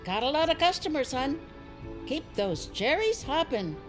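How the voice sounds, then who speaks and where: Sweet folksy old granny, really high voice, doting, audio drama w music behind. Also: female voice, very animated, measured pace. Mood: sweet, cheerful. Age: older. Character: sweet, kindly old woman running ice cream stand.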